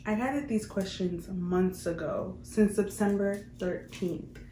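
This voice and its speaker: A woman's voice: medium pitch, unhurried, warm tone. A young adult woman, chatting casually to viewers as a vlogger answering questions. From Queens, New York, United States. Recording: roomy echo, faint background noise.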